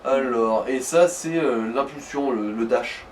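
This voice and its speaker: A man's voice: mid-range voice, measured pace. Man chatting casually, distant from the mic. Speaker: advisor to the player in a let's play. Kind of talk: casual explanatory gaming chat. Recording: distant mic, faint background noise.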